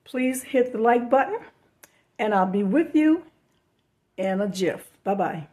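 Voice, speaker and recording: A middle-aged woman with a hoarse voice, chatting casually through a thrift and yard sale haul with many fillers and restarts. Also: on the high side, animated, unhurried, mellow. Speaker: reseller showing thrift hauls.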